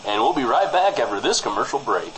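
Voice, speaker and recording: A man playing an apologetic small-town broadcaster in improvised comic radio banter, halting and over-formal on a studio mic. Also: medium pitch, big pitch swings, fairly bright tone, slight background noise, poor audio. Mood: mock-serious announcing. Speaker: co-host of a fictional small-town news show.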